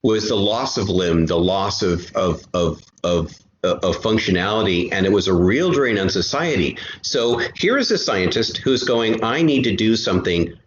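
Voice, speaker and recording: Guy with a lowish voice explaining stuff in an interview, earnest, repeats himself, echoey noisy recording. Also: expressive, normal speed. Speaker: guest, co-creator of an audio drama podcast. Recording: roomy, dull, noisy amateur recording.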